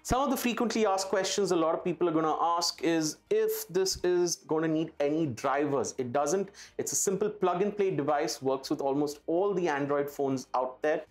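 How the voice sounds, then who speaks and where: A man's voice: on the high side, normal speed, few pauses. A man presenting a product for his company in an emphatic way, stressing key words by repeating them. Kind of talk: product pitch to camera. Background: background music.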